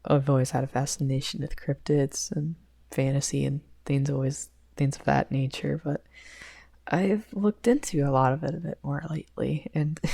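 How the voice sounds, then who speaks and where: A woman's voice: low voice, creaky, dark tone, exaggerated voice. A woman playing a shy young male best friend in an ASMR roleplay, rambling hesitantly with stammers and nervous giggles. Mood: shy excitement.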